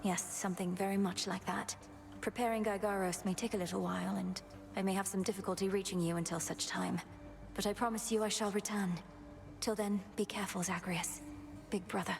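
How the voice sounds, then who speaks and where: A woman playing an earnest, determined young underworld princess and witch in a game, speaking formally and with measured care. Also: medium pitch, flat delivery, creaky, faint music behind, close to an everyday voice. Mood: solemn, tender. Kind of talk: scripted game acting.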